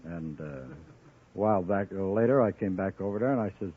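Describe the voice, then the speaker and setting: A man's voice: deep voice, a little fast. An American man from Philadelphia answering as a quiz show contestant, telling stories plainly and politely. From Philadelphia, Pennsylvania. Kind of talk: conversational storytelling in an interview. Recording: old radio broadcast, tinny and noisy.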